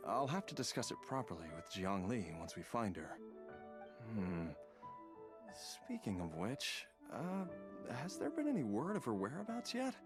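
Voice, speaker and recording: A man's voice: mid-range voice, big pitch swings, a little slow, music in the background. An actor playing a grateful, mild-mannered father recovering from illness in a video game, speaking hesitantly with sighs. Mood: grateful, puzzled.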